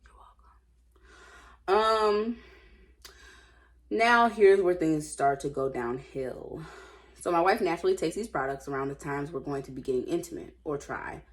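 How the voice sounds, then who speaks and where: A woman's voice: low-pitched, expressive, normal speed, pauses often. An American woman casually reading stories aloud with informal, sassy and blunt running commentary.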